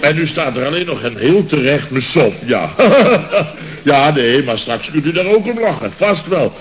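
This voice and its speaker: A dull, noisy old radio comedy recording carries a blustering boss of a building firm. His Netherlandic Dutch voice sits on the high side for a man. He is sarcastic and exasperated, and he hammers at his phrases again and again. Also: big pitch swings, a little slow. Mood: sarcastic, agitated. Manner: exasperated, sarcastic, repeats himself, laughs bitterly. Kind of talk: radio comedy serial acting.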